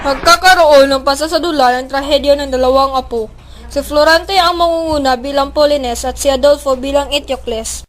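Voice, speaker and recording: A woman's voice: high voice, steady tone, normal speed, close to an everyday voice. A woman with a Filipino accent playing a formal, encouraging schoolmaster in an amateur radio drama. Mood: formal, approving.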